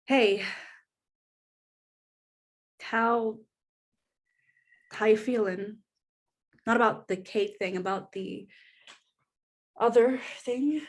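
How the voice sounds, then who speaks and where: A woman's voice: a little high, very slow, pauses often. A woman playing a caring, persistent, teasing sister in a scripted drama table read, casual and coaxing. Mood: concerned, coaxing.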